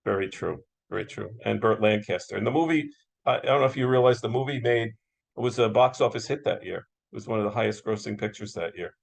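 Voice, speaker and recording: A man's voice: lowish voice, fast talker, creaky. An American man moderating an interview in a casual, agreeable, conversational style, often echoing and affirming.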